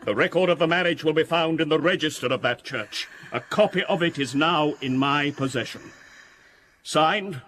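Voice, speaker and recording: An actor playing a precise, formal London solicitor in a radio drama. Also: male voice, fairly high pitch, a bit bright, slight background noise, lightly acted. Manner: formal, measured declarations.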